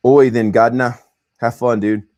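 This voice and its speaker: A man's voice: medium pitch, unhurried. A young man hosting a casual livestream, talking in a loose, slangy way and calling people bro and man. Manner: animated, slangy, says bro and man a lot. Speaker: host.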